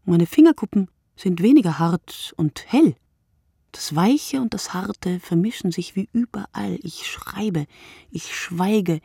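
A woman playing a brooding, solitary writer in a radio play monologue, speaking deliberately and poetically, often dropping to a breathy hush. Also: mid-range voice, no echo, studio quality, full character voice. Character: wakeful solitary night writer, a woman, brooding and word-obsessed.

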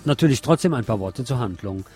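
A man's voice: medium pitch, lively intonation, quick pace, dark tone, faint music behind. A man giving a personal, opinionated film review in a conversational radio commentary style.

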